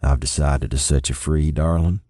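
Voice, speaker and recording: A man voicing a smooth-talking Texan man, sad and resigned, with a low drawl. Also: fairly even intonation, normal speed, warm tone, no echo, studio quality. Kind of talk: audiobook character voice.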